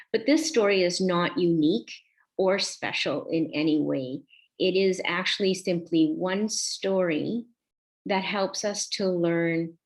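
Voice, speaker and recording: A woman in her forties, born in Vietnam, giving a reflective conference talk built on a personal story, pausing often with soft fillers. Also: on the low side. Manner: slow, reflective, with frequent ums. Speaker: conference speaker.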